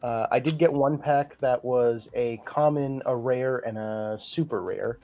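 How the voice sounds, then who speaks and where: A man's voice: mid-range voice, a little slow, dull audio, faint music behind. A man co-hosting a gaming podcast, explaining card stats step by step with lots of fillers. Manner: explains game cards step by step with many fillers. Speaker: podcast co-host and card game creator. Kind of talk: casual gaming podcast chat.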